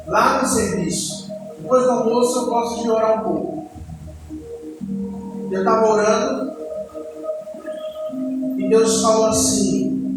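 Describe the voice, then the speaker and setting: High-pitched Brazilian man preaching in echoey hall. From Ceará, northeastern Brazil. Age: late 20s. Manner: conversational storytelling, addressing the congregation. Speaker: church deacon preaching. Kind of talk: personal testimony sermon. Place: church hall. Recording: echoey hall, distant mic, music behind.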